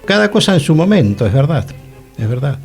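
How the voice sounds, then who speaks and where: A man's voice: fairly high pitch, very animated, brisk, darkish tone, soft background music. A man with a Rioplatense Argentine accent, co-hosting a casual radio conversation and emphatically repeating phrases. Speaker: radio show co-host.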